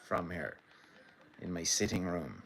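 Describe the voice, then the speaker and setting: A man's voice: low voice, expressive, a little slow, gravelly, very noisy. An Irish man from the midlands hosting a rambling live stream from his sitting room, commenting on a match and chatting to viewers. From Ireland. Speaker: livestream host. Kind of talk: casual live commentary and chat.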